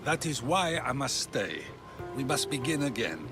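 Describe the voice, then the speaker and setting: An actor playing a proud, principled and steadfast Athenian philosopher in a video game cutscene. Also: male voice, medium pitch, sing-song, a little slow. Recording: loud music behind.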